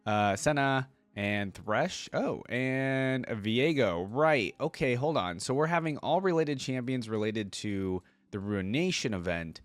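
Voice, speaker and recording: A man hosting a reaction channel, casually commenting and reading aloud, thinking out loud with informal asides. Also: mid-range voice, animated, measured pace, hardly any pauses, no room sound.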